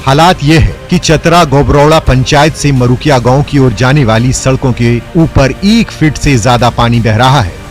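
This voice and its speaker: Guy doing formal news voiceover, regular male pitch, bit of music behind him. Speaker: news voice-over reporter. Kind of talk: scripted news narration.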